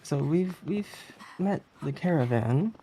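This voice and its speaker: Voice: mid-range voice, expressive, unhurried, mellow. A speedrunner giving casual, rambling commentary on a live stream, often chuckling. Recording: noisy live stream audio.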